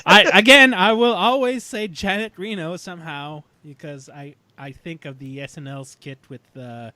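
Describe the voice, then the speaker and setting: Halting man in his thirties, fairly high voice. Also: pushed and bright, dry recording. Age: 30s. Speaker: podcast host. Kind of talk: casual fan discussion, episode recap.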